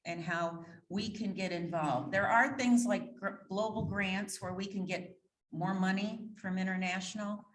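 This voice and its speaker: A woman's voice: mid-range voice, unhurried. A woman introducing a guest speaker in a chatty, self-deprecating way. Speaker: club member introducing speaker. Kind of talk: introduction speech. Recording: echoey room.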